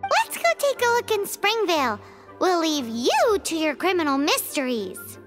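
Super high squeaky little companion girl, bouncy and boastful, talks about herself by name. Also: big pitch swings, unhurried, a bit bright, soft background music, heavily acted. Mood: flustered. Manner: refers to herself in third person. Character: tiny floating girl companion, chirpy and boastful. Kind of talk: game dialogue.

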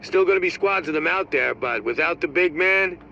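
An actor playing a gruff, battle-weary military ally in a video game, speaking in a plain, folksy, approving manner. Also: male voice, fairly high pitch, lively intonation, normal speed, sharp tone, highs cut off, a little hiss or hum, full character voice. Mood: approving, relieved. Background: music.

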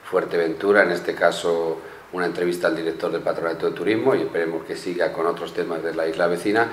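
Deep-voiced Canarian man with measured talk. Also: fairly even intonation. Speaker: newspaper journalist. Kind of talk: studio news chat. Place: small studio with blue backdrop. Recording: echoey studio room, faint hum.

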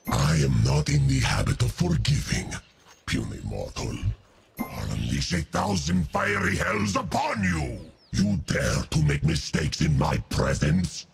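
A menacing demon of metal and flame from a video game. The voice is an extremely gravelly growl that swings wildly in pitch. It speaks slowly and grandly, full of contempt, with faint music behind it. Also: male voice, heavily acted. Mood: contemptuous, then roaring. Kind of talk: video game character voice lines.

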